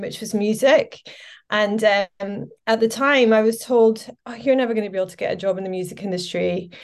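A woman's voice: medium pitch, darkish tone. A Scottish woman in her forties, a podcast guest chatting casually and telling her story with lots of fillers. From Scotland. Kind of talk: casual interview chat.

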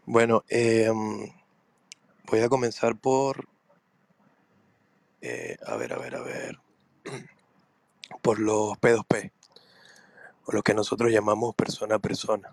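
A hoarse man, speaking very slowly and hesitantly as he explains. His voice sits low, and the recording is dry and close. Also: lively intonation, no echo. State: hoarse from laryngitis. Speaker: podcast guest. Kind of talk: explaining on a podcast.

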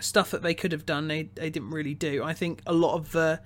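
A man with a distinctly high voice speaks as a podcast co-host. He reviews films in casual conversation, reasoning analytically. His delivery is halting, as he searches for the way to put each point. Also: little pitch movement, a bit bright.

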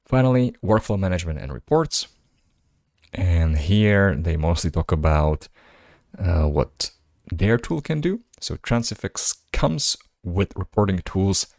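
A man with a low, deep voice and a Slovak first language reads articles aloud for his podcast. Between passages he drifts into casual, musing commentary. The sound is dry and close. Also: a little mellow, no room sound. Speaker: podcast host.

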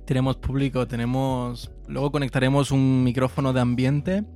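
A man's voice: medium pitch, level tone, moderate pace, warm tone, soft background music. A man hosting a podcast, chatty and joking as he asks his guest questions. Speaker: podcast host. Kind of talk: casual interview chat.

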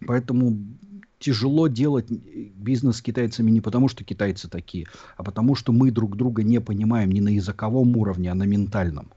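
Husky, mellow low-voiced man. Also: brisk. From Russia. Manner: reflective, explanatory, many fillers. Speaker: YouTube channel host. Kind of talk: casual podcast chat. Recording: dull lo-fi sound.

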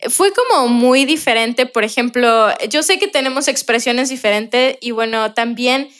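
Mexican woman, high voice, mexican accent, co-host, casual friendly questions. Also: a little slow, fairly bright tone, soft background music. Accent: Mexican Spanish. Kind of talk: casual podcast chat.

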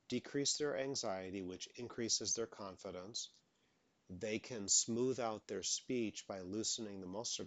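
A man's voice: on the low side, fairly bright tone, no echo. A man who stutters openly, giving motivational advice as a stuttering coach. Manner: stutters openly, repeats words.

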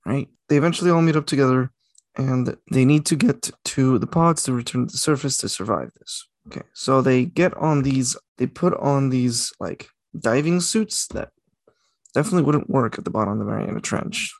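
A man's voice: medium pitch, animated, normal speed, creaky, no echo. A young man co-hosting a casual podcast, rambling and correcting himself as he talks. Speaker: podcast co-host. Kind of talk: casual chat.

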